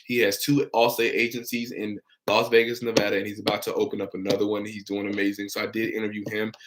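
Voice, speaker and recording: A man's voice: lowish voice, monotone, fast, noisy background. A young man, hosting a casual livestream Q&A about his insurance work. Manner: upbeat and encouraging, reads out and answers viewer comments. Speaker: insurance broker and livestream host.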